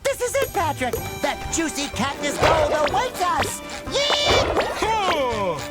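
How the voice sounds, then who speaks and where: Cartoon game lead: naive, eager sea sponge, high nasal squeak, swooping delivery, loud music underneath. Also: male voice, wildly swooping pitch, slow pace, full character voice. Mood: excited. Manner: earnest, over-enthusiastic. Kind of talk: animated video game voice acting.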